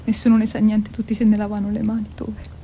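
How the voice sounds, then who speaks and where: A woman's voice: mid-range voice, completely flat delivery, fast, mellow, slight background noise, close to an everyday voice. An actress playing an anguished mother in a stage drama, repeating obsessive worried phrases like an incantation. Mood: anguished, searching. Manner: incantatory repetition, often breathy near-whisper.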